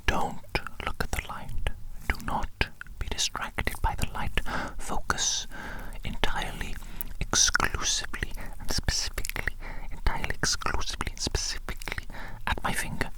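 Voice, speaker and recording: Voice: normal speed, few pauses, poor audio. A performer whispering close to the microphone as a pompous, manic evil scientist in an ASMR medical exam roleplay, insistently repeating precise phrases.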